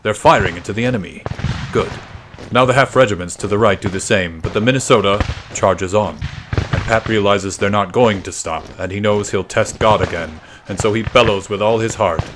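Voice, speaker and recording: Male narrator, low pitch, dramatic storytelling, measured and suspenseful, faint background noise. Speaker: narrator of a literary podcast.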